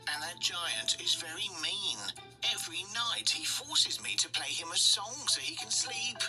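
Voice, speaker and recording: Woman doing a bright, sweet magic harp. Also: on the low side, lively intonation, measured pace, far from the mic, soft background music, voice pushed up, exaggerated voice. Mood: pleading. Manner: sweet, sing-song pleading. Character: gentle magical singing harp, female.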